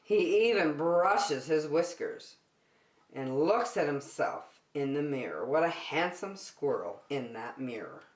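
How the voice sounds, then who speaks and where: A woman tells a children's story in a very animated voice that swings widely in pitch. She takes her time, speaking slowly and clearly to little listeners like a circle time teacher. The room around her adds a noticeable echo. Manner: expressive, addresses young listeners with questions and prompts. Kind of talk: animated picture-book read-aloud for young children.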